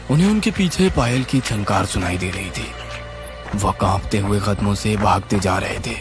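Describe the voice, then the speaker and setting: A man narrating a scripted horror story in a suspenseful, theatrical way. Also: on the low side. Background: music.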